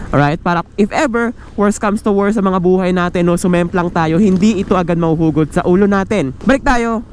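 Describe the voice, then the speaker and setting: A man's voice: high voice, darkish tone, slight background noise. A Filipino man giving a casual, chatty vlog review, mixing in English and talking directly to viewers. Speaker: motorcycle vlogger and gear reviewer. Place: outdoors, riding a motorcycle on the road. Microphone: microphone clipped inside a helmet.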